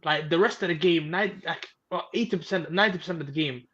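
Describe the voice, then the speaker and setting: A man's voice: a little high, quick pace, a bit bright, lo-fi sound. A man talking casually about football, rambling with many fillers. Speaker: panel guest. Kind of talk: casual chat.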